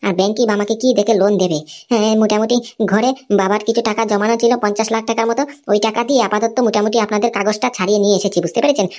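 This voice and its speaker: A woman's voice: mid-range voice, fairly even intonation, racing, darkish tone, natural voice. A woman voicing a humble, conciliatory young cartoon man who explains things in a placating way. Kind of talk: amateur cartoon dialogue.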